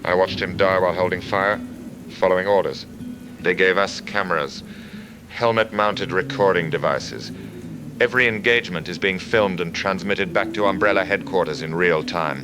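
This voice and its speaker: Man acting a low-voiced, weary mercenary soldier. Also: moderate pace, background noise, close to an everyday voice. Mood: grim, bitter. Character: weary disillusioned male mercenary soldier, bitter and defiant. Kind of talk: audio drama survivor testimony monologue. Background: background music.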